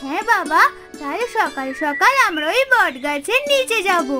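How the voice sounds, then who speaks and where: A woman's voice: very high-pitched, very animated, moderate pace, music in the background. A woman voicing an earnest, innocent little village girl in a cartoon fairy tale. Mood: excited. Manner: childlike, eager, innocent.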